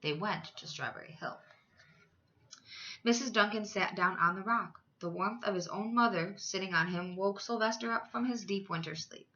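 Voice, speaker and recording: A woman's voice: mid-range voice, normal speed, bright, forceful tone, muffled recording. A woman reading a children's story aloud as a teacher, expressively, with dramatic emphasis for young listeners.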